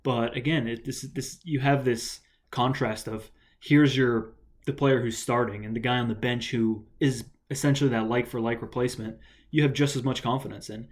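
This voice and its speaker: A man's voice: mid-range voice, fairly even intonation, normal speed. Young American guy for a solo soccer reaction vlog, loose and rambling, stops and restarts a lot, mid-range voice. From United States. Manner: rambling, many fillers and restarts. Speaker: soccer vlogger.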